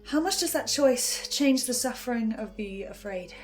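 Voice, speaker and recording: A woman hosting a scripted video essay, giving reflective commentary with dramatic pauses. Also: fairly high pitch, animated. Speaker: reviewer and host. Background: music.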